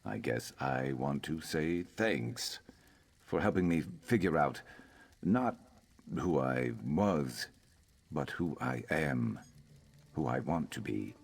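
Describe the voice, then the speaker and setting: A man playing a gruff, loyal Argonian lizard-man in a video game, speaking in a measured way with dry wit. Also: extremely low pitch, animated, exaggerated voice. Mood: reflective, grateful. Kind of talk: video game character dialogue.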